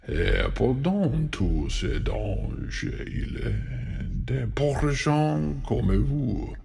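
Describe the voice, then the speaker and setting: An imperious great wizard acted by a man for an ASMR film. His voice is low and heavily creaky, and he draws out his commands very slowly with theatrical pomp. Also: a little mellow, exaggerated voice. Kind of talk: French ASMR roleplay film.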